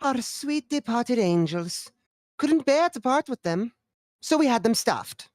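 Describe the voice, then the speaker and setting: A woman's voice: fairly high pitch, expressive, a little slow, a little mellow, no echo, studio quality. A woman playing a dotty, bossy elderly former actress in a screenplay table read, theatrical and scolding. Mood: fondly reminiscing.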